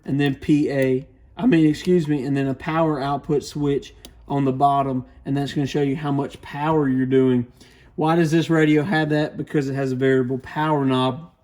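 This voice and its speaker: A man's voice: on the high side, a little mellow. A man presenting a casual product demonstration with beginner advice, explaining things in an informal, plainspoken way. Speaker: radio shop product reviewer, presenter.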